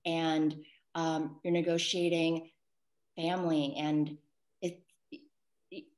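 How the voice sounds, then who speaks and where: A woman's voice: deep voice, little pitch movement, slow pace, a little mellow. An American woman, a solo performer, speaking reflectively in a discussion, hesitating and correcting herself as she thinks aloud. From New York City, United States. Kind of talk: reflective post-show discussion. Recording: roomy, echoing sound.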